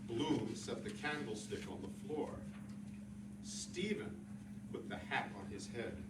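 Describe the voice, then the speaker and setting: A man's voice: medium pitch, expressive, slow pace. A man giving a dramatic reading of literary prose. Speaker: literary reader. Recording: roomy echo, distant mic, clear noise.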